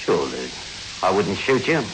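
A menacing male gang boss has a low voice that swings widely with sarcasm and threat. He gives commanding orders in 1960s radio style on a hissy old recording. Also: sing-song, unhurried. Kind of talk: 1960s radio suspense acting.

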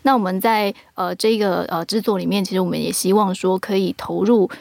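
A woman's voice: mid-range voice, steady tone, brisk, vocal fry, a little mellow, dry recording. A woman with a Taiwanese accent speaking as a producer and guest, explaining things earnestly in casual interview talk. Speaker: audio drama producer and guest.

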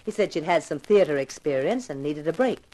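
A woman's voice: low-pitched, lively intonation, a little fast, warm tone, rough recording. Pushy, swooping lady producer. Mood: brisk. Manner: gushing, bossy, theatrical. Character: pushy, excitable female TV producer hungry for publicity. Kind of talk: 1950s radio drama acting.

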